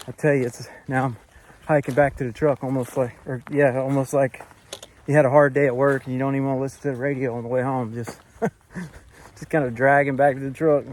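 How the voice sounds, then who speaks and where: A man from Florida, vlogging casually while hiking, out of breath and a little hoarse, recorded on a handheld camera outdoors. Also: medium pitch, darkish tone. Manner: breathing hard, winded while hiking uphill. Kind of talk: casual vlog narration to camera. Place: outdoors on a forest hiking trail.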